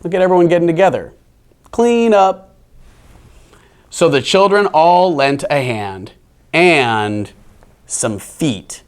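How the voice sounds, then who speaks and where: A man reading a children's picture book aloud, playful and expressive, dropping into dry, deadpan asides. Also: on the high side, slow pace, pauses often, smooth. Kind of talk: animated storybook reading with wry asides.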